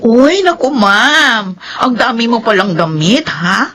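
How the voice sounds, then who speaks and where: An actress playing Yoli, a cheerful, polite housemaid in a radio drama. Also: female voice, fairly high pitch, expressive, slow pace, a bit bright, exaggerated voice.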